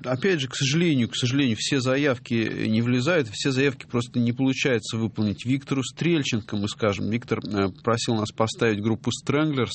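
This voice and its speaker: A middle-aged man hosting a music radio show, talking casually and hesitantly, with many drawn-out filler pauses. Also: medium pitch, a little hiss or hum. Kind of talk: casual radio talk reading listener messages.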